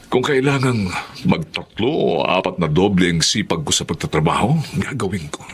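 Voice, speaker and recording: A man's voice: low-pitched, lively intonation, moderate pace, breathy edge, heavily acted. A man playing an ambitious, earnest middle-aged father in a Filipino radio drama. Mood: determined. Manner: earnest, persuasive. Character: determined, ambitious Filipino father working abroad.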